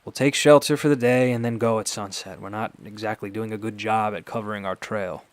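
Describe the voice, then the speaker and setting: An actor playing a calm, persuasive doctor in a radio drama, measured, politely formal and wry. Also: male voice, on the low side, no echo, lightly acted.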